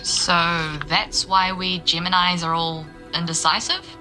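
Eager young woman reporter, nervy and talkative, bright sound, some music behind. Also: fairly low pitch, steady tone, unhurried. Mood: curious, playful. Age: young adult. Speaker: young arts radio reporter and local guide. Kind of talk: naturalistic audio drama dialogue.

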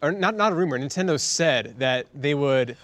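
Male podcaster, animated intonation, informal discussion, dry close-up sound. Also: on the high side, moderate pace, no room sound. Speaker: podcast co-host. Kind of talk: casual discussion.

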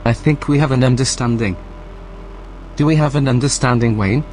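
A man's voice: mid-range voice, a little mellow, a little hiss or hum, natural voice. Man voicing a coolly menacing, polite station AI. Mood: veiled threat. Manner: formal, measured, falsely polite. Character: unflappable, passive-aggressive male station AI with veiled menace. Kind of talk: audio drama acting.

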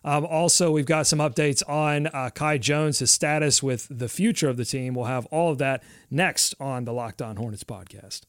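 A man's voice: mid-range voice, moderate pace, few pauses, clear, resonant tone, no room sound. A man co-hosting a sports podcast in casual discussion, conversationally thinking aloud as he talks.

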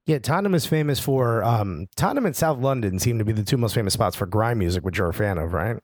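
A man's voice: on the low side, expressive, quick pace, dry recording, studio quality. A man co-hosting a soccer podcast, chatting casually and laughing often. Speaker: podcast co-host. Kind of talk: casual chat.

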